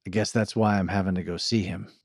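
A man voicing a laconic, unhurried wagon driver in a Western story, with a dry, low-key drawl. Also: fairly even intonation, no echo. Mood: wry, unbothered.

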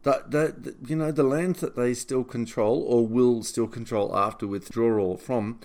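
A man giving semi-scripted political commentary as a podcast host, speaking in a deliberate, halting way and often repeating a word as he goes. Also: mid-range voice, steady tone, a little mellow.

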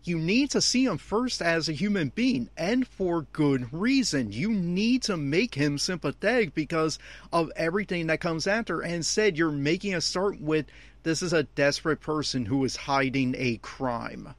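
A man's voice: on the high side, lively intonation, moderate pace, no room sound. Opinionated man giving off-the-cuff commentary. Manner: opinionated, emphatic. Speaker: anime commentator. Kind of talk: off-the-cuff audio commentary on an anime episode.

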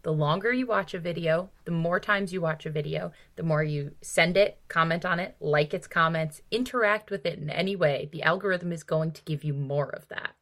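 A woman's voice: low voice, normal speed, few pauses, fairly bright tone. A woman co-hosting a casual book discussion podcast, talking in an informal, emphatic way peppered with 'like'. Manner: informal, emphatic, frequent 'like' and mild swearing. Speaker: podcast co-host.

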